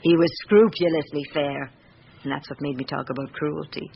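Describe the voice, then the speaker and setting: An actress playing an embittered middle-aged estranged wife in a radio drama, with a British accent and a reflective, confiding manner. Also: female voice, low-pitched, steady tone, moderate pace, creaky. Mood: bitter, reflective. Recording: muffled, noisy old radio recording.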